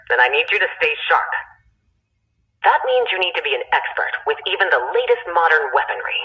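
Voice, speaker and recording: A woman playing a confident, commanding resistance leader, giving deliberate mission briefings in a video game. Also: lowish voice, pushed and bright, low-quality recording. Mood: confident, persuasive.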